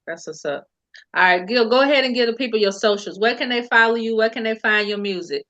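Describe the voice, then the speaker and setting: A woman's voice: fairly high pitch, fast, sharp tone, dull audio. A woman hosting a podcast in an easygoing, affectionate way, with casual terms of endearment. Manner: affectionate, easygoing, calls people boo and baby. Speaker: podcast host. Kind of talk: casual interview chat.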